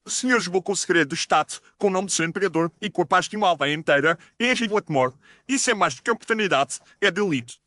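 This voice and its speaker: A man acts as a righteous police inspector in an audio mystery. His speech is quick and sharp-edged, formal and stern in manner. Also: high-pitched, dry recording. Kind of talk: dramatised audio mystery story.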